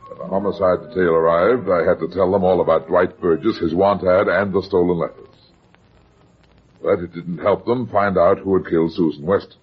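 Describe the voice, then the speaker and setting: An actor playing a brusque, sardonic, wisecracking private detective in a vintage radio drama. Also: male voice, low voice, steady tone, normal speed, breathy edge. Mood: narrating. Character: hard-boiled, wisecracking male private detective. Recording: muffled vintage radio recording.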